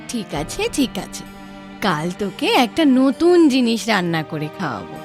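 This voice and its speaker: A woman voicing a patient, caring village mother in a cartoon, with a soothing, drawn-out delivery. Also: medium pitch, sing-song, a little mellow, soft background music. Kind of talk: Bengali cartoon dubbing.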